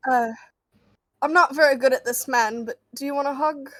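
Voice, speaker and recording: A high, excitable woman's voice, recorded dry and close to the room's silence. She speaks as a player improvising in a casual tabletop game. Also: normal speed, dry recording, low-quality recording. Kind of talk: casual improvised roleplay chat.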